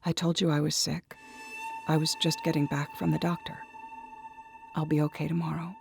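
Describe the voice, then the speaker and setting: Man voicing a volatile, addicted woman, gravelly. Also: a little high, fairly even intonation, normal speed, music in the background, voice pushed up. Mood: evasive. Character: addicted woman, evasive, irritable and explosively angry. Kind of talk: dramatic audio story acting.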